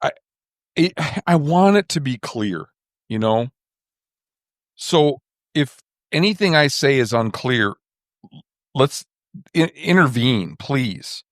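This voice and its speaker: A man's voice: mid-range voice, animated, takes it slowly, long pauses, dry recording. A man hosting a casual podcast, thinking aloud with dry self-deprecating humor. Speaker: podcast host.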